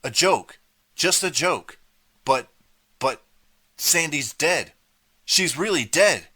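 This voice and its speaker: A man voicing a frantic teenage boy prankster who shouts in a shrill, trembling voice. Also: lively intonation, slow pace, slightly rough, exaggerated voice. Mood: panicked.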